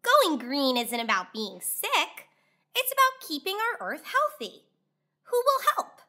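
A woman's voice: extremely high pitch, wildly swooping pitch, measured pace, sharp tone, voice pushed up, full character voice. A woman voicing a kind female fox schoolteacher from a storybook, patient and emphatic. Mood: encouraging.

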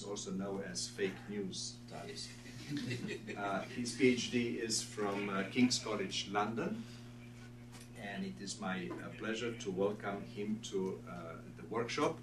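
A middle-aged man opens a seminar by reading a formal introduction of the guest, slipping in a dry aside. His voice sits low for a man. The sound is distant and roomy, with a steady hum underneath. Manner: reads from notes with dry asides. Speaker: seminar host introducing a speaker. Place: seminar room with a long table. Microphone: far room camera. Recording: echoey room from far off, background hum.

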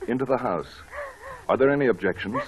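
An actor playing a well-bred, haughty, courteous young gentleman in 1940s radio drama style. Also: medium pitch, animated, brisk, a crowd in the background, close to an everyday voice. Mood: scornful. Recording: dull old radio broadcast recording.

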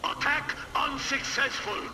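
Urgent Soviet spaceship captain, high distant radio voice, clipped military delivery for a game. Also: male voice, a little slow, noisy background. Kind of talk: video game radio-call acting. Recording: distant radio transmission under loud music.

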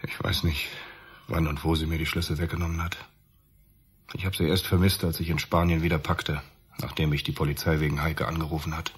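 An actor playing a cornered middle-aged murder suspect in a radio crime drama, defensive and subdued. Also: male voice, very deep voice, flat delivery, brisk, husky, exaggerated voice. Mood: defensive, resigned.

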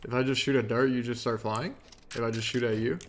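A man's voice: medium pitch, steady tone, fast. A young man chatting casually as he streams a game, teasing and swearing in slang. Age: young adult. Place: indoor room at a desk. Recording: keyboard clatter, game noise. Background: typing, clicks, game sounds.

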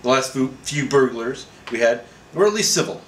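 A man's voice: mid-range voice, lively intonation, normal speed, lightly acted. A man voicing a blunt, exasperated wife in a scolding, insistent manner for a comic story. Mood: indignant. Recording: roomy echo, faint background noise.

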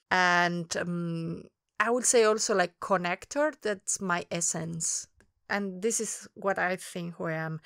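Spanish-accented woman, smooth and thoughtful. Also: medium pitch, a bit bright, no echo, clean studio sound. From Spain. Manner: thoughtful, searching for words. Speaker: guest interviewer. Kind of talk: reflective conversational interview.

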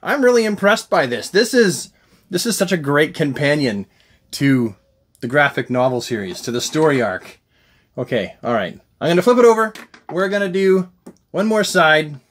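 A man's voice: fairly high pitch, animated, moderate pace. A man in his forties casually reviewing records, thinking aloud with enthusiasm as the host. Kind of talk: casual unboxing and music review chat. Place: small indoor room full of collectibles. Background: rock music from a record player.

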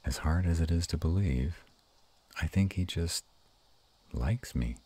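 A man playing a gruff but tender were-dragon lover in an intimate romantic audio roleplay, murmuring slowly and haltingly in a gravelly, husky voice. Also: sits low, lively intonation, mellow, heavily acted. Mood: thoughtful.

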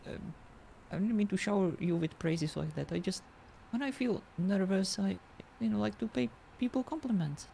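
A woman improvising in-character conversation in an online game, halting as she searches for words. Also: medium pitch, gravelly, mellow, slight background noise.

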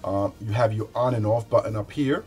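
A man's voice: on the low side, brisk, a little mellow. Casual man reviewing gear over music. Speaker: product reviewer, show host. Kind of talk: casual unscripted unboxing review. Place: small indoor room with shelves.

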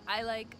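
A woman's voice: a little high, noisy background. A thirty-year-old American woman chatting casually to camera as she answers viewer questions, informal and rambling with emphatic repetition. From United States. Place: outdoor rooftop balcony above a city.

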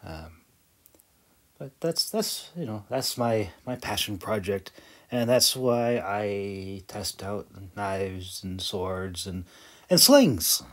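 Slow-talking, hesitant man. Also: fairly low pitch, animated. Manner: hesitant, self-deprecating, chuckling. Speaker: video creator and hobby audiobook narrator. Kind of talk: casual rambling vlog voice-over.

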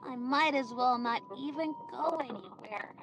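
A woman's voice: high voice, music in the background. A woman voicing a young cartoon girl who hosts a children's show in a video game, talking to viewers in a singsong way. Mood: sad, wistful. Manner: singsong, slow counting, addressing young viewers.

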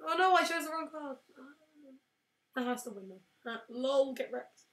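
Brit girl yelling over a game, kinda high and bright, echoey room. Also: normal speed, a little hiss or hum. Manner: excitable, shouts and squeals at wins and losses. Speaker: let's play host. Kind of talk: casual gameplay commentary. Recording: roomy echo.

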